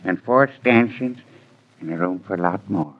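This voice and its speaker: An actor playing a gruff old farmer who hides a soft heart, in 1940s radio acting style. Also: male voice, deep voice, normal speed, lightly acted. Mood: plain folksy. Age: older. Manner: plain, drawling rural speech. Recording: dull 1940s radio recording.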